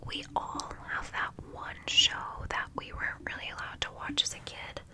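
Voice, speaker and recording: A young woman chatting in a soft whisper for an ASMR video, gushing with lots of ums, her voice stuffy and nasal from congestion. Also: normal speed. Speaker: ASMR creator.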